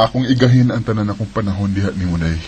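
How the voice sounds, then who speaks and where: A man's voice: lowish voice, fairly quick, darkish tone, a little hiss or hum, natural voice. A man playing a protective, hardworking older brother in a Filipino Bisaya radio drama. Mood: tender, earnest. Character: protective, hardworking older brother raising his younger sister.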